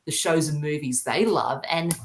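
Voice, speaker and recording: A man's voice: fairly high pitch, normal speed, slight background noise. A young Australian man chatting casually as an interview guest, hesitant and earnest in his delivery. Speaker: podcast guest, YouTuber. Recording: roomy, echoing sound.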